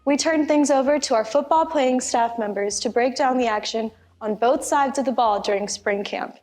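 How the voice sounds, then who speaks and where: A young woman, a student host, reading scripted introductions in an even way. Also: on the high side, moderate pace, faint music behind. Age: young adult. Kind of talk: scripted presenting.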